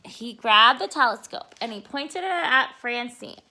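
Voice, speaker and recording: A woman giving an expressive read-aloud of a storybook for preschoolers, explaining simply to young listeners. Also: fairly high pitch, a little slow, creaky, a little hiss or hum, rough recording.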